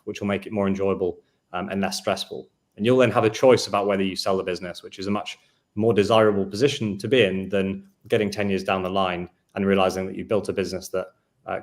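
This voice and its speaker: A man in his thirties hosting a business webinar over a video call, speaking in a measured way with frequent fillers. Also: on the low side, a little mellow. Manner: measured presenter, hedging with fillers. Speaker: webinar host.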